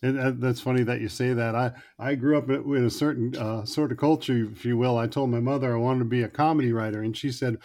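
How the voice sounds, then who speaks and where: Male podcast host, mid-pitched voice. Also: fairly even intonation, quick pace, hardly any pauses, a little mellow. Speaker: podcast host interviewing a guest. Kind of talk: conversational interview with prepared introduction.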